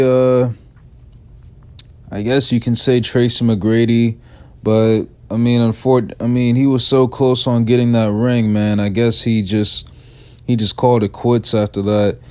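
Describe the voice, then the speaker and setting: Slow, raspy, rambling man. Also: medium pitch, a little mellow. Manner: rambling, drawn-out, repeats "man" often. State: sick, a little raspy. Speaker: sports fan commentator. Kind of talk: casual sports opinion talk.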